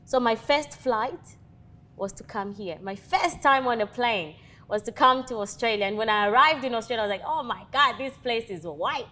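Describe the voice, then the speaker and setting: A woman's voice: a little high, moderate pace, sharp tone. A woman in her thirties from Zambia, speaking, talks as an interview guest and leadership coach with earnest, motivational conviction. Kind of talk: interview conversation.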